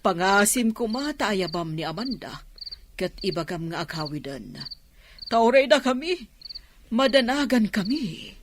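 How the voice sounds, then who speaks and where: A worried mother acted by a woman in a radio drama. Her pitch is moderate and her manner anxious. Clear noise and chirping insects sit behind her. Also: big pitch swings, a little slow. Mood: anxious, pleading. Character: worried mother searching for her grown daughter. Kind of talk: Ilocano radio drama acting.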